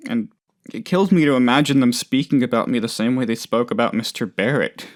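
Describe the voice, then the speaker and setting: A man playing an earnest, self-doubting but loyal boss in a video game, talking in a halting, heartfelt, rambling way. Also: mid-range voice, natural voice. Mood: anguished, determined. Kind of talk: expressive game dialogue, conversational monologue.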